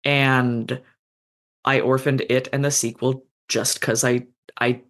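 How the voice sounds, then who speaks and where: Podcast guest, female, very low voice; chatty, self-deprecating author. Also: little pitch movement, slow pace. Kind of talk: casual podcast interview.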